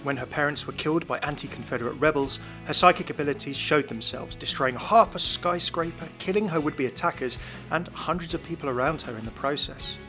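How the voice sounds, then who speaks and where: A man's voice: a little high, fairly quick, bright, forceful tone. A man giving explanatory narration about video game lore. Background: background music.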